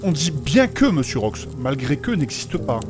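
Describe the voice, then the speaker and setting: A man playing a polite, pedantic gentleman adventurer, formal and courteous, in a fantasy audio drama. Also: a little high, very animated, moderate pace, music in the background. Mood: prim, correcting. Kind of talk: French fantasy audio fiction acting.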